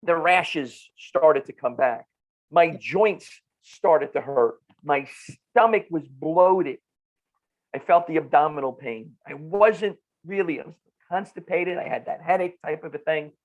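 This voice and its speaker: A middle-aged man with a New Jersey accent talks in a conversational, explanatory way. His voice sits a little high, and he drives points home with repeats and rhetorical questions. The recording is somewhat dull and lo-fi. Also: a little slow. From northern New Jersey, United States. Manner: emphatic, repeats phrases and asks rhetorical questions. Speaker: nutrition clinician, podcast guest.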